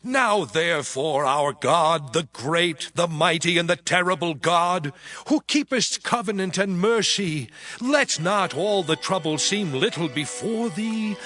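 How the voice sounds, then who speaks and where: A man playing a reverent, pleading supplicant in a dramatized scripture reading, speaking in a formal, measured way. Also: sits high, expressive, bright, forceful tone, full character voice. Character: reverent, pleading man praying for his people. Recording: studio reading under prominent music.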